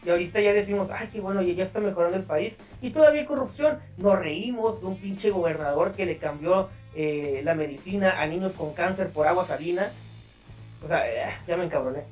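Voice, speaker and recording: A man's voice: high voice, a little fast, a little mellow, recorded from a distance, soft background music. A man with a Mexican accent hosting a podcast in a casual, slangy, profane way. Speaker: podcast host.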